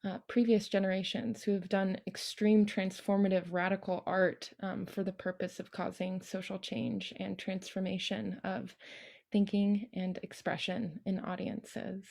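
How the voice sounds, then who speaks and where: A young woman speaks as a festival host, presenting performers earnestly and with some hesitation. Her voice is soft-edged and mellow, with little movement in pitch. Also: flat delivery, unhurried, creaky. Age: young adult. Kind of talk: introducing performances at a digital arts festival.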